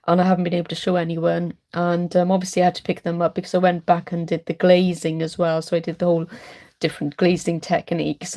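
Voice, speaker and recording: A middle-aged woman rambles through a late-night live stream, full of ums and friendly hellos to viewers. Her voice is low and mellow, thick and congested from a chest cold. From Wales. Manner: rambling, chatty, many ums, greets viewers. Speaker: art channel host.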